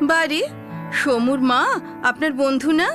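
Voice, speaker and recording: Woman acting a high-voiced female detective. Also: lively intonation, slow, a bit bright, soft background music, exaggerated voice. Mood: coaxing, probing. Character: brisk, friendly, probing female detective. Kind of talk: audio story acting.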